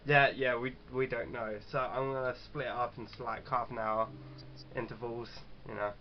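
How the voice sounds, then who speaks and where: A man casually hosting a let's-play, chatting and reading game text aloud in a halting, stumbling way. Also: mid-range voice, little pitch movement. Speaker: gaming channel host. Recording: dull lo-fi mic with clear background noise.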